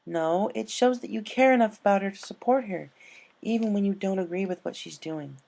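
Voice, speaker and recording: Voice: medium pitch, brisk, creaky, a little mellow, voice pushed up, lightly acted. A performer voicing an earnest, bookish young female pony in a cartoon fan story. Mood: firm, reasoning.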